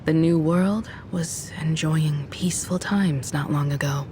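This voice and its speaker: A woman's voice: deep voice, unhurried, a little hiss or hum. A woman voicing a composed, kindly, mature leader in a video game, courteous and poised. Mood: calm. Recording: loud music behind the voice.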